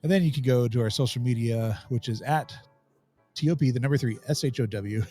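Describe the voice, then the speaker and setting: A man's voice: medium pitch, a little fast, warm tone. Male podcast host, mid-range voice, easygoing banter style, music lightly underneath.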